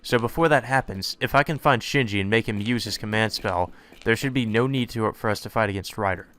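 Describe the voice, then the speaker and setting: A man's voice: lowish voice, a little fast, fairly bright tone, a little hiss or hum, close to an everyday voice. A man voicing an earnest young male hero, anxious and determined, narrating his own story in a visual novel.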